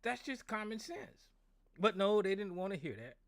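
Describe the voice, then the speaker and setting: A middle-aged American man with a hoarse, somewhat high voice rants with anger and plenty of profanity. He hosts a call-in talk show and keeps circling back over the same points, stumbling on his words. The sound is close and dry. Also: big pitch swings, moderate pace, no echo. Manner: angry, profane, repetitive. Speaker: show host. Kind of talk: political rant on a call-in show.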